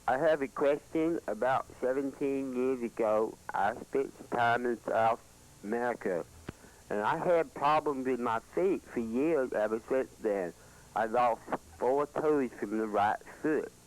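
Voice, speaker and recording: Give me a male phone-in caller with an average-pitched voice, conversational and persistent, sounding earnest. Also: measured pace, rough recording. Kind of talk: plain conversational questioning.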